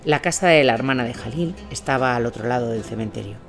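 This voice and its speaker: A man's voice: mid-range voice, quick pace, gravelly, over music. A man reading scripted first-person war narration as a storyteller. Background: noisy ambient sound.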